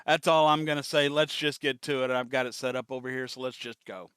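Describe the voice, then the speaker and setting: A man's voice: mid-range voice, steady tone, a little fast, fairly bright tone, clear, resonant tone, no room sound, studio quality. A man hosting a fan reaction show in a casual, chatty style.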